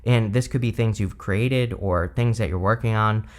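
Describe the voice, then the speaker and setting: A man giving a relaxed, rambling tarot reading with lots of "you know" and "uh", his voice a bit hoarse from allergies. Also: on the low side, normal speed. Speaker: tarot reader. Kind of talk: casual tarot reading to camera.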